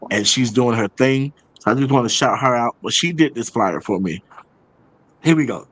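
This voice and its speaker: A man's voice: medium pitch, normal speed, fairly bright tone, dry recording, poor audio. Man hosting a casual show, repeating for emphasis. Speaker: show host and playwright. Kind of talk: casual show chat with announcements.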